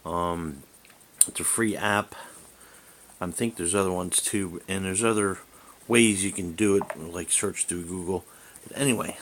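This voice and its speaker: A man's voice: sits low, a bit bright. A man giving a casual hands-on demonstration as a hobbyist, rambling and hesitant as he thinks aloud into a camera mic. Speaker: amateur reviewer and radio hobbyist. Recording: hissy amateur camera recording.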